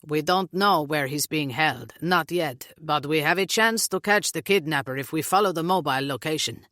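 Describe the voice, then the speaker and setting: A woman's voice: deep voice, fairly bright tone, no echo, clean studio sound. A woman voicing a cold, arrogant police captain with a throaty voice in an audiobook. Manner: slow, measured, cold.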